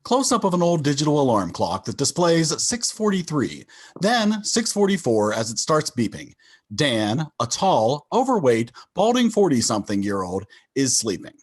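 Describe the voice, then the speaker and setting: A man's voice: fairly high pitch, huge pitch swings, normal speed, husky. A man narrating sitcom stage directions as scripted reading on a video call.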